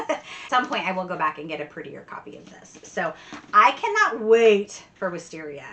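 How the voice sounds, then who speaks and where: A woman's voice: on the low side, very animated, normal speed, pushed and bright, slight background noise. A woman book vlogger chatting casually and enthusiastically, with giggly, emphatic repetition. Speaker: book vlogger answering tag questions. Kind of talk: casual chat to camera.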